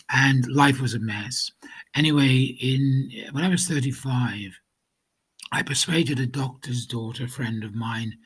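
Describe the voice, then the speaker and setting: A man from Wales telling his own story of addiction in a slow, hesitant way, with many pauses, ums and restarts. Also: mid-range voice, breathy edge. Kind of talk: personal testimony.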